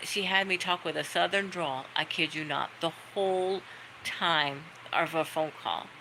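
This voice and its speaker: A woman's voice: sits low, unhurried, vocal fry, pushed and bright, a little hiss or hum, poor audio. A middle-aged woman chatting casually on a livestream, rambling through stories in a friendly, talkative way. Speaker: livestream host. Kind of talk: casual chat to viewers. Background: dogs barking.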